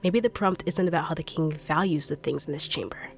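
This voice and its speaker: A young woman voicing a blunt, sharp-tongued treasure-hunting bodyguard in an audio roleplay drama, brusque and mocking. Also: sits low, brisk, gravelly, faint music behind. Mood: condescending.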